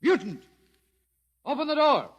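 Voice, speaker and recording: Hard officer, wildly swinging pitch. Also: male voice, very animated, a little slow, fairly bright tone, in a large hall, exaggerated voice. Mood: shouting orders. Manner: commanding, barking orders. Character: hard, suspicious, trigger-happy male military lieutenant. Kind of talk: 1950s radio drama acting.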